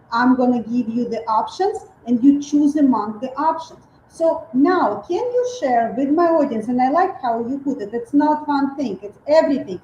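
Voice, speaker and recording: A woman's voice: fairly high pitch, dark tone. A woman hosting an interview, encouraging and affirming her guest. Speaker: host, dental clinician. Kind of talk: interview host. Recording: roomy, dull, faint noise.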